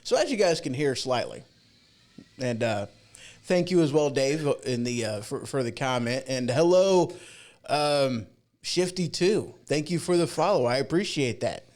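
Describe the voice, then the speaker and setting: A man's voice: medium pitch, lively intonation, measured pace, a little mellow. A man hosting a podcast livestream in a casual, rambling, informal way. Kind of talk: casual chat. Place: home podcast studio.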